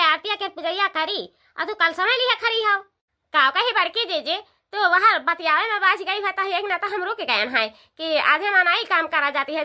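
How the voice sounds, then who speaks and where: Shrill, scolding village daughter-in-law. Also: female voice, bright, forceful tone, full character voice. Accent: eastern Uttar Pradesh. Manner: nagging, rapid-fire complaining in rustic dialect. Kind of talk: comedy cartoon dialogue.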